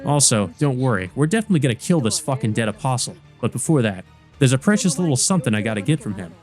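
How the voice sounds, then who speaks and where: A man's voice: medium pitch, sing-song, fast, a little mellow, soft background music, close to an everyday voice. Man doing a brash, foul-mouthed enforcer. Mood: crude, defiant. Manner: crude, swaggering.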